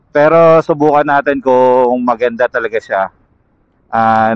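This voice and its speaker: A Filipino man talking casually as a motovlogger through an intercom headset microphone, conversational and unhurried. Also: medium pitch, clear, resonant tone, no echo, dull audio. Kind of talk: casual vlog introduction. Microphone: intercom headset mic paired to action camera.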